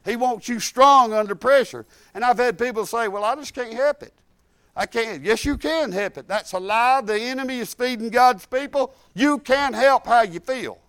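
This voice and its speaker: A man in his late fifties preaching a sermon, animated, rising to loud exhortation and dropping to quiet asides. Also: very high voice, normal speed, dry recording. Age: 50s.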